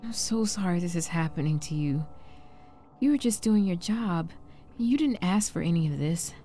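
A woman playing a shaken, compassionate wife in an audio drama, with halting, stammering, hushed delivery. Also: sits low, slight background noise, close to an everyday voice. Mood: distressed sympathy. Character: shaken, compassionate wife trapped in frozen time. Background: background music.

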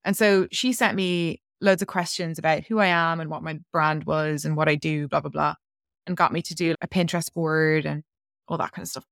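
A woman's voice: sits low, steady tone, moderate pace, gravelly, no room sound, studio quality. A woman guest on a podcast telling a personal story in a chatty, rambling way, full of "like" fillers. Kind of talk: casual interview storytelling.

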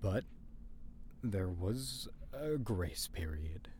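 Weary, low-pitched young man in a supernatural mystery audio drama; noisy recording. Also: lively intonation, extremely slow, a little mellow, heavily acted. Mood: somber, reflective. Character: weary young man narrating and searching for a missing girl. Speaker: narrator and character in supernatural mystery podcast. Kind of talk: audio drama acting with reflective narration.

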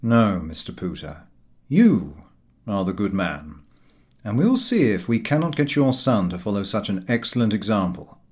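A man voicing a kindly, dignified older office master in an audiobook, with a measured delivery. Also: fairly low pitch, big pitch swings, a little mellow, pitched below the performer's own voice. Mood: kindly, firm.

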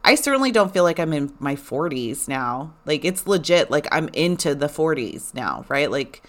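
A woman in her forties chatting casually to her listeners, animated and rambling with lots of fillers. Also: low-pitched, moderate pace. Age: 40s. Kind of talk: casual personal chat.

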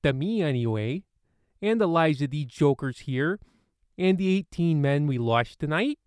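Guy doing a cranky australian pilot who swears nonstop, highish voice. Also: expressive, unhurried, darkish tone, no room sound. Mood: defiant. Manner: swears constantly, gruff and defiant. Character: angry foul-mouthed Australian squadron leader. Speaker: squadron leader. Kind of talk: comedy audio drama.

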